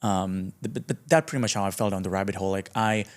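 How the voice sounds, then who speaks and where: Guy late 20s from indonesia, lowish voice, casual podcast guest, slangy and self deprecating. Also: steady tone, fast talker. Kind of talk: casual conversational interview.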